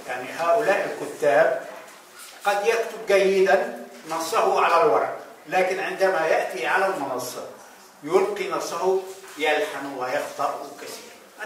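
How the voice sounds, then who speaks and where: A man in his sixties speaks for the cultural house. He addresses the room in measured, formal Standard Arabic. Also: a little high, a bit bright, slight background noise. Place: art gallery event room, standing. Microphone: handheld mic, heard from a distant camera. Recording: echoey gallery from far off.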